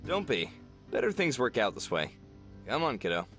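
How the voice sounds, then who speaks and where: A man's voice: medium pitch, sing-song, normal speed, fairly bright tone, soft background music. A man voicing an easygoing, kindly, resigned grown man in a visual novel game. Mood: calm, resigned.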